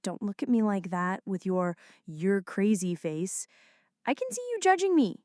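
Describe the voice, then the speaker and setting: A woman's voice: mid-range voice, very animated, measured pace, warm tone, no echo, clean studio sound. A woman acting a jumpy, flirty, dramatic friend in an ASMR roleplay, talking to the listener in a teasing, mock-indignant way. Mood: defensive, uneasy.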